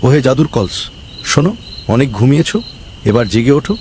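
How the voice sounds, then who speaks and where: A man acts out a greedy, bossy village councilman from a comic cartoon, cowardly underneath his pomp. He speaks mid-pitched, with melodramatic exclamations. Clear noise and night insects sit behind him. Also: fairly quick, a little mellow, close to an everyday voice. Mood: pompous, greedy. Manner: pompous, exclamatory, pleading when frightened.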